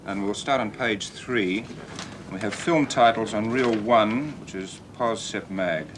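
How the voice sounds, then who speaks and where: Man, TV director, low voice, brisk and technical, clear background hum.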